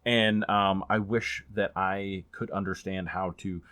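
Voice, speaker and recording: A man talking casually as a book reviewer in a rambling, informal way that gets animated on points of emphasis. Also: deep voice, takes it slowly, clear, resonant tone, no echo. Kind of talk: casual chatty book vlog.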